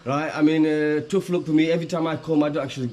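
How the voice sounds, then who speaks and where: A man's voice: a little high, fairly even intonation, racing, a little mellow, roomy sound. A man giving a joking, rambling tour of his family's old village house. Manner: joking, rambling, loose tour-guide talk. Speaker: vlogger. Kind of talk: casual chat, touring a village house.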